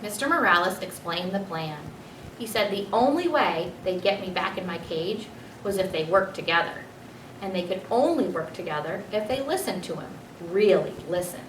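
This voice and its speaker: A woman reading a children's book aloud as expressive storybook narration. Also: on the low side, normal speed. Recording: roomy, distant mic, faint noise.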